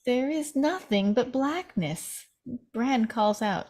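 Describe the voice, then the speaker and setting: A woman's voice: on the high side, lively intonation, takes it slowly, darkish tone. A woman reading stage directions from a screenplay as scripted narration at a table read.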